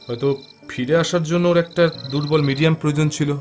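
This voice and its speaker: An actor playing a confident young paranormal investigator in an audio horror drama, explaining things gravely and deliberately. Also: male voice, fairly high pitch, fairly even intonation, darkish tone, faint music behind, lightly acted. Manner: grave, deliberate explaining, mixes in English words.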